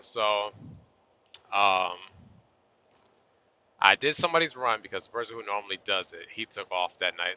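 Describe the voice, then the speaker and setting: Bright-voiced trucker, a bit hoarse. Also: male voice, lowish voice. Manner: laid-back, chatty, southern-style y'all. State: losing his voice a little. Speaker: truck driver vlogger. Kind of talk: casual vlog talk to camera while driving. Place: inside a truck cab on the road. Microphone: phone or camera on a tripod. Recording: dull lo-fi sound.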